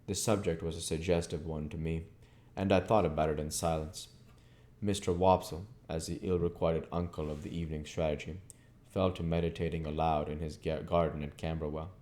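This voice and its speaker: Deep dark-voiced guy narrating an old novel, mellow, measured audiobook reading. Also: flat delivery. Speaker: audiobook reader, channel host. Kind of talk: scripted narration of a classic novel.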